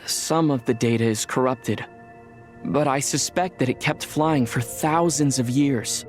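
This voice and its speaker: A thoughtful young male traveler from a video game cutscene, voiced by an actor. He speaks quietly and with measured calm, pausing to reflect. His pitch is mid-range, with faint music behind. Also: close to an everyday voice. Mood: reflective.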